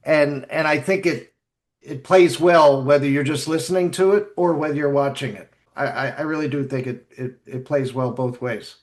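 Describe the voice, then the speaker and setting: A man hosting a casual group podcast chat, talking in a halting way and often repeating his words. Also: fairly high pitch. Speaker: podcast host.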